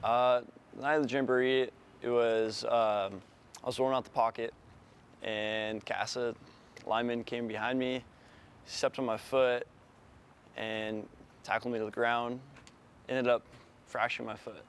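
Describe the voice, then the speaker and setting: A young man, a student athlete, speaking low-key in an interview. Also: level tone, unhurried, long pauses, a little hiss or hum.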